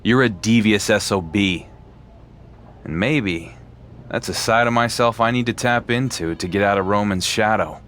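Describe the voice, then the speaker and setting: A man voicing a cocky male wrestling champion in a video game who brushes off authority. His voice sits low and his delivery is dry and unimpressed. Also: normal speed, a bit bright, a little hiss or hum, lightly acted. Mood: resigned. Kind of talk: video game cutscene acting.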